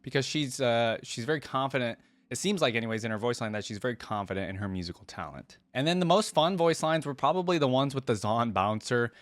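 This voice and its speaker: Male reaction host, chatty and musing, average pitch, dry close mic. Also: lively intonation, fast, hardly any pauses, dry recording. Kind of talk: casual off-the-cuff commentary.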